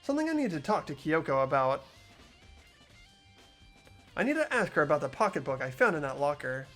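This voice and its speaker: Performer voicing an unsure, good-hearted teen boy; stammers, pitch swings widely. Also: very animated, brisk, a bit bright, faint music behind. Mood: earnest. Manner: hesitant, stammering, earnest. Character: earnest, hesitant teenage boy student. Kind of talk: amateur voiced game playthrough.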